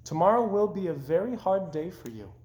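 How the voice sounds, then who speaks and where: A man's voice: sits high, very animated, fairly quick, a little mellow, pitched above the performer's own voice. A man voicing a cheerful, optimistic frog in a children's storybook, upbeat and encouraging, in a roomy echo. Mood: matter-of-fact. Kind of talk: children's storybook read-aloud.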